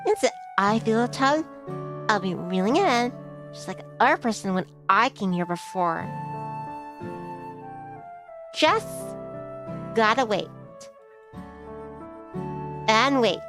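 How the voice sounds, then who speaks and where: Female playthrough streamer, average pitch; story narration plus offhand asides, background music. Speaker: streamer reading a visual novel aloud. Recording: music behind the voice.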